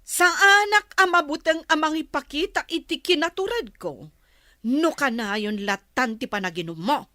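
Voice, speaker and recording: A woman's voice: high voice, animated, normal speed, sharp tone, dry recording, clean studio sound. An actress playing a stern, hard-working wife and mother in an Ilocano radio drama, with an emphatic, nagging way of talking. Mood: angry, scolding.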